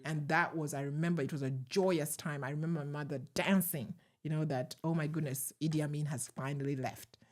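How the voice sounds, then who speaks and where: A middle-aged woman with a Ugandan accent, a guest recalling her past in a measured, reflective way with soft laughs. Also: low-pitched, fairly even intonation. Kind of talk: conversational interview storytelling.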